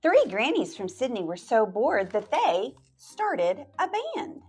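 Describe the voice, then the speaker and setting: A woman's voice: a little high, huge pitch swings, slow, a little mellow, slightly rough, faint music behind. A woman library storyteller reading a picture book aloud to children, stretching words out and dropping into playful whispered asides.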